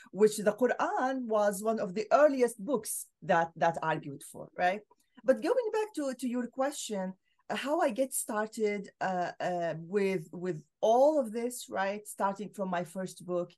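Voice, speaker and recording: A woman's voice: medium pitch, lively intonation, unhurried, darkish tone. Syrian-born woman on a video call, middle-aged, earnest and explaining, lots of uhs. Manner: earnest, explanatory, frequent fillers. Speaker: guest scholar. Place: home room with bookshelves.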